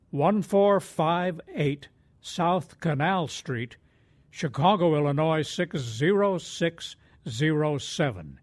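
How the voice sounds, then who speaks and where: A man hosting a radio program, reading a scripted appeal in a solemn, measured manner. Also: on the high side.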